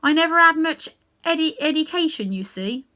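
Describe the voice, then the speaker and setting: A woman's voice: high voice, expressive, moderate pace, bright, forceful tone, voice pushed up. A woman reading aloud and voicing a humble, earnest male cab driver with a London Cockney accent, who speaks in a deferential, folksy way.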